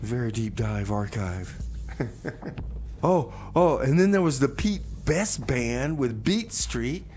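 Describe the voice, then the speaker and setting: A man's voice: mid-range voice, expressive, slow pace, a little mellow, a little hiss or hum. A man hosting a music radio show, back-announcing songs in casual chat. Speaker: radio DJ host.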